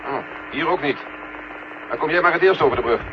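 An actor playing a gruff, exasperated general at mission control, blunt and commanding, in a 1970 Dutch radio drama. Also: male voice, on the high side, fairly quick, background noise, close to an everyday voice. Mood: brisk. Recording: muffled, humming 1970 radio recording.

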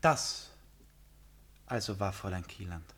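An actor playing a suave, eccentric gentleman in a radio drama, courteous, insistent and full of insinuating questions. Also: low-pitched, a little slow, husky, full character voice. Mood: quietly probing. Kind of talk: German radio drama acting.

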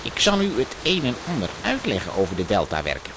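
An actor playing a courteous, proud and easily piqued engineer in a children's audio drama, formal and explanatory. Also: male voice, lowish voice, huge pitch swings, a little fast. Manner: formal, explanatory, self-important. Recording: noisy audio drama recording, hissing ambience.

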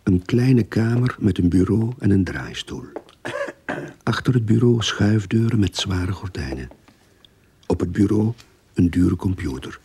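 Radio drama casting: terse boss detective, very low, mellow dark tone, slow and deliberate. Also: male voice, lively intonation, breathy edge, full character voice. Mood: terse, observing.

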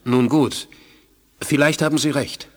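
An actor playing a dignified, wary male ruler of an alien people in a radio play, measured and solemn. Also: mid-range voice, steady tone, close to an everyday voice. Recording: long hall reverb.

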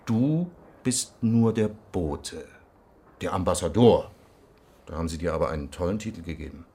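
Low, sardonic police investigator. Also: male voice, animated, normal speed, dark tone. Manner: sardonic, commanding, cutting. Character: hard-nosed, sardonic male police investigator leading an interrogation. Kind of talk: radio crime drama acting.

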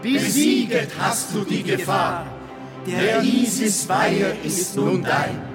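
A man's voice: high-pitched, lively intonation, takes it slowly. A man playing an exultant, ceremonious priest, proclaiming grandly in a verse radio drama with echo. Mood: triumphant. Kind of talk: classical theatrical verse acting. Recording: echoing, loud music behind.

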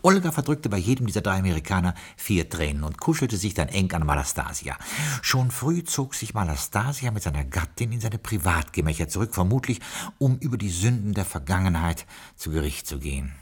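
An actor playing a dutiful, pompous British butler recounting his memoirs in a 1960s German radio play, formal, fussy and husky-voiced. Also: sits low, sing-song, brisk, hardly any pauses, full character voice. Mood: pompous narrating. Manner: formal, ornate, fussy, deferential.

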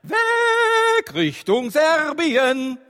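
Very slow, high-pitched man, theatrical. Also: wildly swooping pitch, over music. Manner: drawn-out, repetitive, exclamatory. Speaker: singer-narrator in an audio drama. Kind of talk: half-sung theatrical narration over music.

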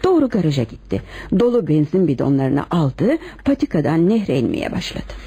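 A woman acting a composed, lovelorn wife confessing to murder in a Turkish radio play, with measured dramatic delivery. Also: low voice, very animated, mellow, slightly rough, full character voice. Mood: grave recounting.

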